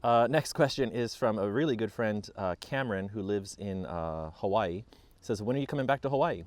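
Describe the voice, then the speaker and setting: A man's voice: lowish voice, expressive, moderate pace, dry recording. A man in his late thirties who grew up in Japan, chatting casually outdoors as he answers viewer questions, with many ums and restarts. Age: 30s. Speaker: vlogger. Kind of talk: casual Q&A chat.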